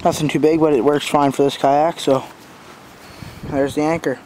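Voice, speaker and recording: A man giving a casual, unscripted walkthrough as an amateur reviewer, talking in a halting, informal way outdoors on a handheld phone. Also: fairly high pitch, level tone, darkish tone, low-quality recording. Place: outdoors in a backyard. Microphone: handheld phone camera. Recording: outdoor phone recording with clear background noise. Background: passing cars.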